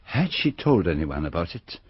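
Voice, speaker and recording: Older Belgian detective, French-Belgian accent, low husky voice, lively intonation, formal, deliberate, radio drama. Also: male voice, no echo, exaggerated voice. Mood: pensive, probing. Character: courteous, methodical Belgian detective, an older man. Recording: slightly dull radio recording.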